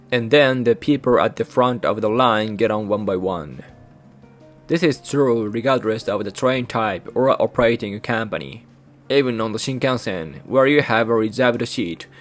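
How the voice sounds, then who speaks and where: A man with a Japanese accent narrates a scripted guide in a middle-pitched voice, taking it in short, careful phrases. His first language is Japanese, and his delivery stays even throughout. Faint guitar music plays beneath him. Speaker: channel host.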